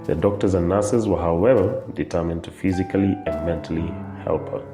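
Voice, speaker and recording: Very deep, echoey male narrator. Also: brisk, over music. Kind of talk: scripted drama narration. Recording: echoey, roomy sound.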